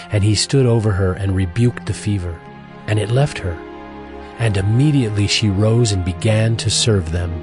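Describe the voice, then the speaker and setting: Male narrator, lowish pitch, formal measured scripture reading, music underneath.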